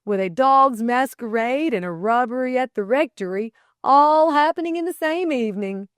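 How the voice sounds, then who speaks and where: A woman voicing an imperious, teasing gentleman, master of the house, in a declamatory audiobook style. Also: mid-range voice, animated, a little slow, no room sound, studio quality, close to an everyday voice. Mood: bantering. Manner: pompous, declamatory, bantering.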